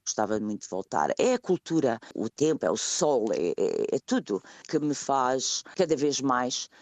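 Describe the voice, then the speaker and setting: A woman's voice: very deep voice, big pitch swings, normal speed, a little mellow, dry recording. A middle-aged woman from Portugal with a European Portuguese accent, answering interview questions thoughtfully, often repeating words as she searches for them. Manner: hesitant, repeats words while thinking. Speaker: guest, emigrant.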